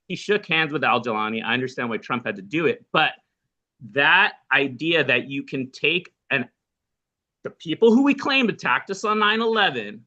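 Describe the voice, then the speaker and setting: Male host, higher pitch, bright forceful tone, argumentative. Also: dull audio. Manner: pushes back, argumentative. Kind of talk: political discussion.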